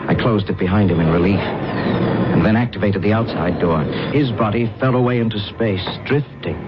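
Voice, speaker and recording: An actor playing a ruthless, haunted space pilot in an intense, dramatic old radio drama. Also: male voice, on the low side, moderate pace, loud music behind the voice, natural voice. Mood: haunted narration. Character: ruthless, haunted male space pilot, not human, narrating his betrayal. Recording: muffled, very noisy old radio recording.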